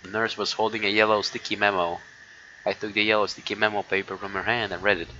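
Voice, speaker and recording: A man with a fairly deep voice reads a visual novel aloud on stream. He goes haltingly, with offhand asides. The sound is muffled and has some noise in it. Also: flat delivery, pushed and bright. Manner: halting reading broken by muttered asides. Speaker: live streamer. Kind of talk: reading a visual novel aloud with casual reactions. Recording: dull lo-fi mic with noise.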